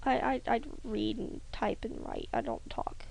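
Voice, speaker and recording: A young woman rambling hesitantly over a drawing tutorial, often trailing off into soft near-whispers. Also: a little high, big pitch swings, measured pace, creaky, poor audio. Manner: rambling, hesitant, self-conscious, many ums. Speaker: hobby animator.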